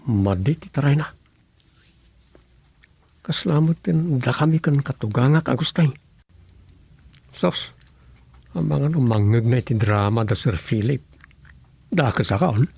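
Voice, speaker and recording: A man's voice: medium pitch, lively intonation, slow pace, creaky, pauses often, a little mellow, husky, heavily acted. A man playing a writer who hesitantly mutters and thinks aloud in a radio drama. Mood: musing. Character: musing male drama writer talking to himself. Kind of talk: radio drama comedy acting.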